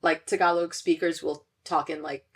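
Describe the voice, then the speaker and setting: Young woman hosting a chatty podcast, lowish voice. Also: little pitch movement, moderate pace. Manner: chatty, many fillers and restarts, laughs often. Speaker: podcast host. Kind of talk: casual chat.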